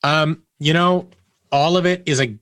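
Man, interview guest, bright forward voice, candid conversational storytelling, quoted dialogue acted out, dry close sound. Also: fairly high pitch, measured pace, no room sound.

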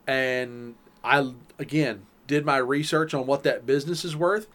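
A man's voice: mid-range voice, steady tone, measured pace, a bit bright. A man with a Southern US accent, co-hosting a podcast and explaining things at length in casual chat. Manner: rambling, explains at length with restarts. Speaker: podcast co-host.

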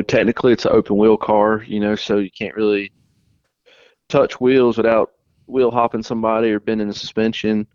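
Man, young adult, racing guest, relaxed halting talk, dry and slightly muffled sound. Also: fairly low pitch, no echo. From North Carolina. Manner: relaxed, halting, many restarts. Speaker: race car driver guest. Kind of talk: casual interview answers.

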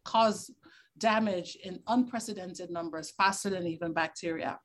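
A woman doctor, giving an interview and eagerly explaining medicine and science, quick to laugh. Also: on the low side. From Tobago, Trinidad and Tobago. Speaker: doctor, virologist and fertility specialist guest.

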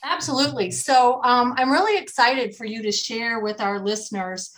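A woman's voice: on the high side, normal speed, a bit bright, low-quality recording. Upbeat woman hosting a pet podcast. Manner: upbeat, scripted intro then chatty with fillers. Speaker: host.

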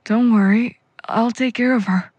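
Woman playing a gentle, hesitant young man, slightly dull sound. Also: on the high side, fairly even intonation, unhurried, slightly rough, no echo. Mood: reassuring. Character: gentle, caring young man, a supportive boyfriend. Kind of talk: audio drama acting.

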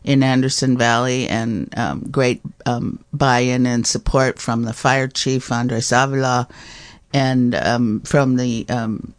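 Slow, measured woman hosting a station show. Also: extremely low pitch, steady tone. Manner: slow and measured, with many um fillers. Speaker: radio station manager and host. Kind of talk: casual radio chat.

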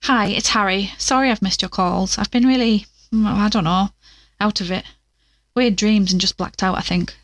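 A woman's voice: mid-range voice, steady tone, normal speed, no echo, lightly acted. A woman playing an anxious, weary investigator who speaks casually and hesitantly into an audio diary in an audio drama. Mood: groggy, apologetic.

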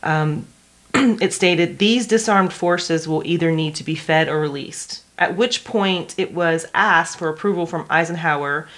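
Female podcast co-host, lowish voice, informal step-by-step history storyteller. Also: measured pace, fairly bright tone. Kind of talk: conversational true history storytelling.

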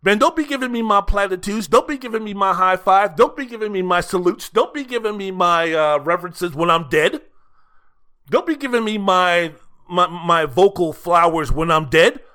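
Man, high voice, bright forceful tone, solo sports commentary, emphatic, theatrical delivery, repeats phrases for effect. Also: moderate pace. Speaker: sports podcast host.

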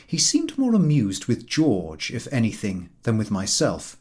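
A man narrating a humorous literary story from a script in an expressive storytelling manner. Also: on the low side, measured pace. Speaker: audiobook narrator.

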